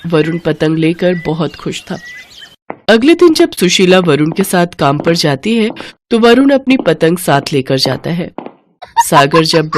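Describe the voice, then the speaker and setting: A woman's voice: lowish voice, very animated, unhurried, a little hiss or hum. A woman reading a scripted moral story for children as the narrator.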